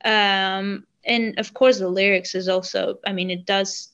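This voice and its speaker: A woman, a singer talking earnestly in an interview, often pausing and restarting. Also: medium pitch, fairly even intonation, a bit bright, dull audio. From Armenia. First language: Armenian. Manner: earnest, halting with restarts and ums. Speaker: singer guest. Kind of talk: casual interview chat.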